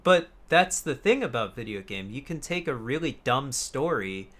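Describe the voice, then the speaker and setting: Young man, bright voice, rambling and sweary. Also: medium pitch, sing-song, moderate pace. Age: young adult. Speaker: podcast co-host. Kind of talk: casual chat about video games.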